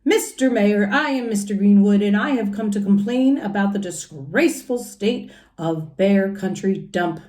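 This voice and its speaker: A woman's voice: medium pitch, a little slow, few pauses, a little mellow, natural voice. A woman voicing a forthright, formal male bear citizen lodging complaints in a children's storybook. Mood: indignant.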